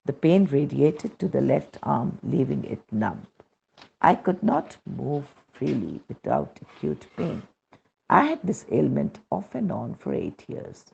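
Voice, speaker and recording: A man's voice: mid-range voice, sing-song, unhurried, creaky, a little mellow, husky. A man reading a devotional testimonial aloud as scripted narration, on a muffled recording.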